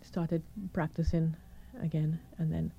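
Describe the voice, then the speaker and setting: A middle-aged woman doctor with a croaky, hoarse voice, calmly explaining her experience as a radio guest. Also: low voice, measured pace, dull audio, slight background noise. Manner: calm, reassuring, explains step by step. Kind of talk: radio interview.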